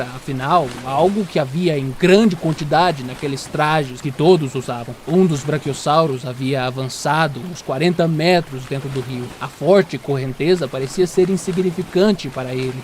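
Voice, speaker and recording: A man's voice: fairly high pitch, slight background noise. A man reading scripted narration for an audio drama.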